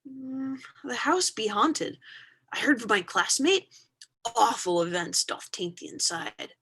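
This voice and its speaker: An eager young child acted by a woman, curious and pleading, speaking theatrical old-style English. The voice is slightly high and husky, swinging up and down in a stage reading. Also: sing-song, unhurried, a bit bright, heavily acted. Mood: spooked.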